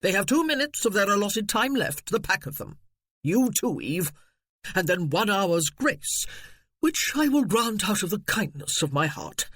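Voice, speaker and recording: A puffed-up, blustering gentleman cousin, as a male narrator puts him on in a period storybook. He talks high and loud with big swings in his voice, full of his own importance. The recording is dry and close. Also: big pitch swings, measured pace, no echo, heavily acted. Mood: pompous. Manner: pompous, blustering, then fawning. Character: pompous blustering male cousin, middle-aged.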